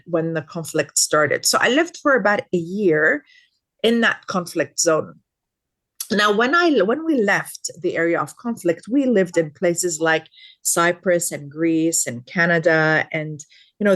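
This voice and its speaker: A woman's voice: on the low side, fairly bright tone. Earnest woman in her fifties, emphatic. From Lebanon. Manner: earnest, repeats words for emphasis. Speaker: guest expert. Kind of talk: podcast interview.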